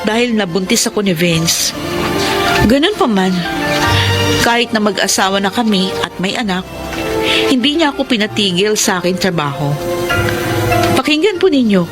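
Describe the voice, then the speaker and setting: A woman's voice: mid-range voice, animated, moderate pace, long pauses, loud music behind the voice, close to an everyday voice. A woman acting an ambitious, breezy working wife in a Filipino radio drama. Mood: confiding narration.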